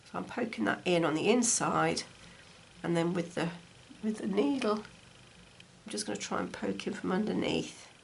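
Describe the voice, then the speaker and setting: Woman, croaky post-cold voice, gravelly edge, relaxed talk-through craft tutorial, faint dishwasher hum behind. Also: lowish voice, normal speed, poor audio. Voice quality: slightly gravelly. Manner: chatty, talks while stitching. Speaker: textile crafts teacher. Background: dishwasher running.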